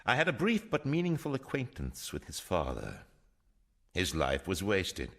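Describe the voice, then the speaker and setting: A sinister Imperial lord for audio drama. The voice is extremely deep and gravelly, swinging widely in pitch. He speaks with measured, imperious menace, every phrase scheming. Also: wildly swooping pitch, fairly bright tone, heavily acted. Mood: cold scheming.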